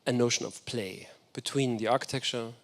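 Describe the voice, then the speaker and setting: A man's voice: medium pitch, fairly even intonation, brisk, gravelly. A man whose first language is German, giving an enthusiastic, reflective architecture lecture. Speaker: guest lecturer.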